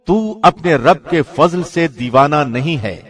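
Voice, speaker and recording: A man reading a scripture translation from a script in a formal, measured radio-announcer manner. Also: a little high, lively intonation, faint music behind. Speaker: translation reader, radio presenter.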